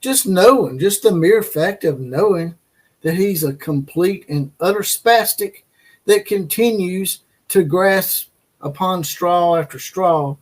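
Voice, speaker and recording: A man hosting a college football commentary channel, ranting with crude, mocking opinions and frequent fillers and restarts. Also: on the high side.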